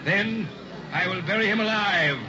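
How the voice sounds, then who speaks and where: A man's voice: high-pitched, normal speed, noisy background, lightly acted. A man playing a slick, theatrical carnival hypnotist with grand, teasing patter in an early sixties radio drama. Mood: grand, coaxing. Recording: dull old radio recording, music behind.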